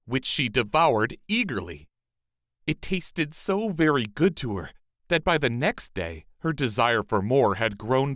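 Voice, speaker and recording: A man narrates a fairy tale in a measured storybook manner. His mid-range voice moves expressively up and down, and the recording is dry. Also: medium pitch, a bit bright, no room sound.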